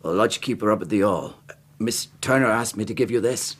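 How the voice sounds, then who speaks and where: An actor playing a respectful, hesitant lodge keeper with a husky voice in a radio drama. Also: male voice, on the low side, a little fast, a little hiss or hum, lightly acted. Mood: deferential, urgent. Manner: deferential, hesitant. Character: deferential male lodge keeper with urgent errand.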